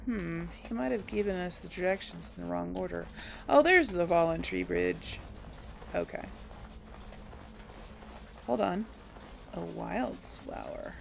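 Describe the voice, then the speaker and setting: A woman's voice: lowish voice, sing-song, a little slow, vocal fry, pauses often, slight background noise. A woman hosting a casual let's play, thinking aloud and chuckling often. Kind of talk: casual gameplay commentary.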